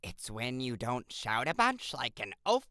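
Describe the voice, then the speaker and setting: A man's voice: medium pitch, big pitch swings, unhurried, pushed and bright, no echo, studio quality. A man voicing a haughty, scornful know-it-all cartoon contestant in a pompous, condescending way.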